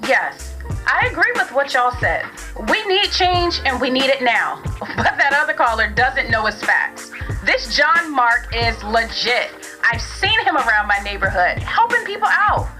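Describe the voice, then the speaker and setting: A woman's voice: high-pitched, very animated, unhurried, bright, forceful tone, faint music behind, heavily acted. A woman playing an earnest, emphatic caller to a radio show in an audio drama, sounding sure of herself. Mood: earnest, convinced.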